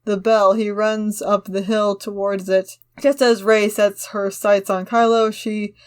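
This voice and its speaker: A woman's voice: medium pitch, flat delivery, a little slow, clean tone. A woman co-hosting a casual discussion, reading a script aloud and commenting on it in an opinionated, argumentative way. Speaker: podcast co-host.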